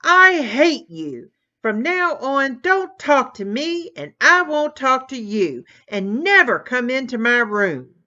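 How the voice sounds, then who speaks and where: A woman's voice: a little high, very animated, slow, lo-fi sound, pitched above the performer's own voice, exaggerated voice. A woman doing an angry little boy turtle. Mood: shrieking angry. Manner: whiny, shouting. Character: hot-tempered young boy turtle.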